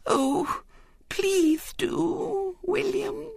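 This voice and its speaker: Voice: mid-range voice, expressive, a little slow, fairly bright tone, no room sound, clean studio sound, pitched above the performer's own voice. A storyteller voicing an imperious, simpering little girl with a sweet, lisping, wheedling manner. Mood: tearful pleading. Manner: lisping, sugary, pleading.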